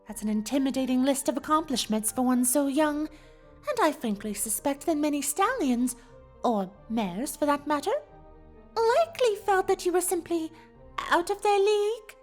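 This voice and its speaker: A woman's voice: high voice, animated, moderate pace, soft background music. A woman voicing an elegant, teasing female pony in a dramatized story reading, with an affected, refined delivery. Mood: matter-of-fact, flattering.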